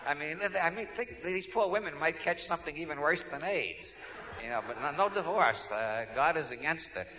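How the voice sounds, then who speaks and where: New York man, sharp-toned, wry humorous speaker on a dull lo-fi tape, midrange pitch. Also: animated, fairly quick. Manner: wry, digressive, frequent restarts. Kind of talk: humorous talk to an audience. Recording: dull lo-fi recording.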